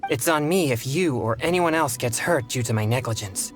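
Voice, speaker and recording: A man playing an earnest, polite teenage boy exorcist in a video game, speaking in a formal, measured way. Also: mid-range voice, animated, faint music behind, lightly acted. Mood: serious, focused.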